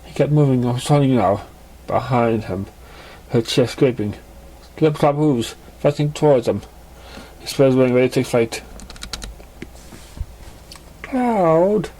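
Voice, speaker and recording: Man, mid-pitched voice, amateur story narration, stumbling delivery, restarted words. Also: normal speed, warm tone, a little hiss or hum, rough recording. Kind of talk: fan fiction narration.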